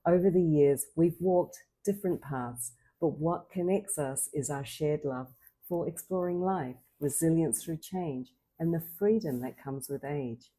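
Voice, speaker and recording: A woman's voice: deep voice, measured pace, warm tone, breathy edge, poor audio. A woman in her fifties hosting a podcast, reading a welcoming intro and then asking interview questions in a conversational way. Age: 50s. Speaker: podcast host.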